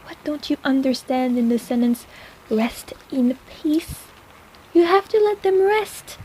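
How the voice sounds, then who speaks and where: Woman acting a high-voiced, teasing apothecary. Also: expressive, a little slow, mellow, rough recording. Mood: teasing, flustered. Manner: playful, sardonic, chiding. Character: teasing, sarcastic but caring one-armed woman apothecary. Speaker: audio roleplay voice actor. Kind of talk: intimate personal-attention audio roleplay.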